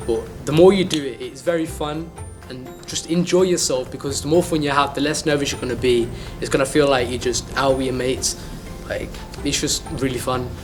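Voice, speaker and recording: A teenage boy speaks as a drama student in an interview. His voice is medium in pitch, and his delivery is informal and a bit halting. There is light music in the background. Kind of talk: casual interview chat.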